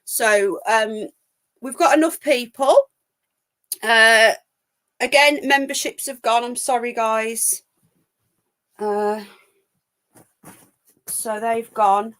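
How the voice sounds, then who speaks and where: A woman's voice: on the high side, slow, pauses often, fairly bright tone. A woman hosting a live call-in stream from home, chatting frankly and rambling, a bit full of cold, on a laptop mic. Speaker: livestream call-in show host. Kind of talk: casual live stream chat.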